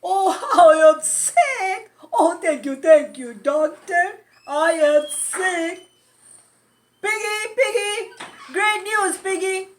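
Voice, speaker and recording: A woman voicing an anxious, melodramatic elephant in a children's storybook, halting and stammering. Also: extremely high pitch, pitched above the performer's own voice. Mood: overjoyed. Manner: halting, stammering, overdramatic with loud achoos. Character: anxious, melodramatic sneezing elephant, friend of a piggy. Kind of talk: children's storybook read-aloud.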